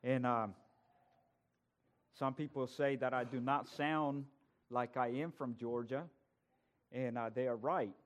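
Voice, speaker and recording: A man from El Salvador, preaching a sermon as a guest preacher and building from quiet words to loud emphasis. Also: mid-range voice, steady tone, slow pace, long pauses.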